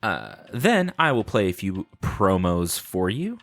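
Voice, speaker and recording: Casual, playful man with lively low-pitched delivery. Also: unhurried, faint music behind. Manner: playful, rambling, self-deprecating jokes. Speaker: podcast host. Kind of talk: casual unscripted solo podcast chat.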